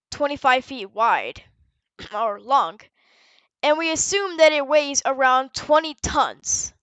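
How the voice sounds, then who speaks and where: A woman's voice: high-pitched, fairly bright tone, dry recording. A woman narrating a research log in a matter-of-fact, explanatory way, partly scripted and now and then correcting herself. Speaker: narrator presenting a fictional research audio log.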